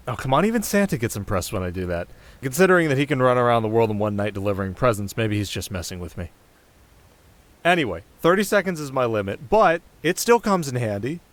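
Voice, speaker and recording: A man's voice: medium pitch, a bit bright. A man playing a cocky, playful winter spirit in an ASMR roleplay, speaking straight to the listener in flippant, sarcastic, casual banter. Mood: showing off.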